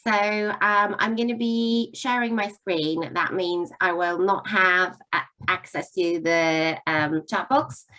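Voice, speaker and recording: A woman with a slightly low voice opening an online webinar as a coach. She talks in a friendly, chatty way, with plenty of small fillers between her thoughts. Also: steady tone, slow, few pauses. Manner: warm, chatty, lots of fillers. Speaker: coach and psychologist presenter. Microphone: video call mic.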